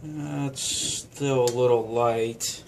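Slow-talking man demoing at a bar. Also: medium pitch, steady tone, very noisy. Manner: slow, unhurried, with many fillers. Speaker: vlogger. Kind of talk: casual how-to demonstration. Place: indoors at a home bar counter.

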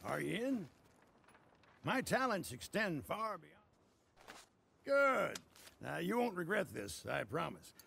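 An actor playing a genial, scheming older frontier trader in a western video game, folksy and persuasive. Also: male voice, high voice, sing-song, takes it slowly, creaky, long pauses, sharp tone, a little hiss or hum, heavily acted. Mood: eager, reassuring. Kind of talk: video game cutscene acting.

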